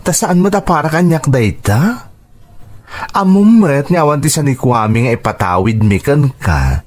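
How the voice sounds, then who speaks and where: A caring uncle in an ilocano radio drama, really animated intonation, earnest and reassuring. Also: male voice, fairly high pitch, moderate pace. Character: devoted, reassuring uncle who provides for niece, Paul.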